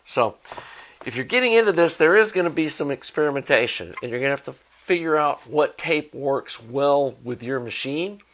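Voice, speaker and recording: A man's voice: fairly high pitch, animated, normal speed, fairly bright tone. An American man talking informally as a hobbyist video host, explaining gear in a rambling, think-aloud way. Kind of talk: casual explanatory product talk.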